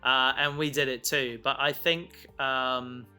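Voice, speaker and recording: Guy cohosting a podcast, bright forward voice, rambly and stop-start, music underneath. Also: mid-range voice, flat delivery, clear, resonant tone. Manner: rambling, halting, self-interrupting. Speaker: podcast co-host and audio drama creator. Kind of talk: casual podcast chat.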